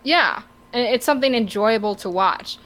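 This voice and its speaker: A woman's voice: a little high, normal speed, a bit bright, poor audio. A woman in her thirties chatting casually as a podcast co-host. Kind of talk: casual chat.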